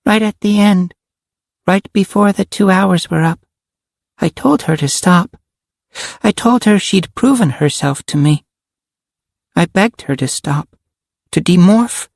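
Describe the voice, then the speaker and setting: A man's voice: high voice, takes it slowly, creaky, long pauses, a little mellow, no echo, studio quality, pitched above the performer's own voice, full character voice. A man voicing a solemn little girl in an audiobook, speaking in a grave, pleading manner. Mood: grave, regretful. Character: solemn little girl with an alien controller inside. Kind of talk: audiobook character acting.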